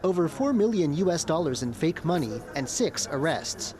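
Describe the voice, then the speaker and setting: A man voicing a scripted news report in a lively, expressive way. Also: fairly high pitch, moderate pace.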